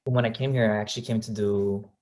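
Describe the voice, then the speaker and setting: Interview guest: young man from Colombia, lowish voice, reflective, lots of ums. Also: fairly even intonation, fast talker, darkish tone. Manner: thoughtful, many fillers and restarts. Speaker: guest, real estate agent. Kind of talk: casual interview answers.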